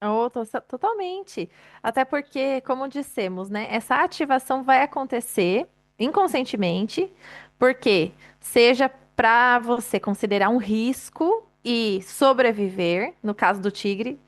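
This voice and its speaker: A woman's voice: on the high side, expressive, measured pace. A woman giving a chatty, live-streamed motivational talk, addressing the audience directly and laughing often.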